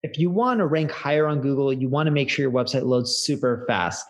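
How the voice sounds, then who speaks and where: A man's voice: mid-range voice, a little fast. A man delivering a scripted sponsor read for a podcast. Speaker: sponsor ad reader.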